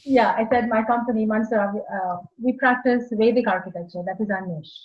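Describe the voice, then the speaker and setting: A woman in her forties from India with an Indian accent, explaining her work in a measured, slightly halting way as an interview guest. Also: on the high side. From India (Maharashtra). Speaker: guest architect. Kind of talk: video call interview. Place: small room indoors. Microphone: earphone mic on video call. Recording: slightly dull video call audio.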